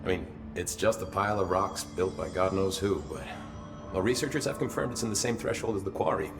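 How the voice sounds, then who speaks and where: A man's voice: low voice, fairly quick, gravelly, music in the background. A man playing a weary, wry security officer in a video game, talking in a casual, grumbling, hesitant way with dry humor. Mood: wry, explanatory. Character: weary, foul-mouthed male security officer, wry and chatty. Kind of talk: naturalistic video game dialogue.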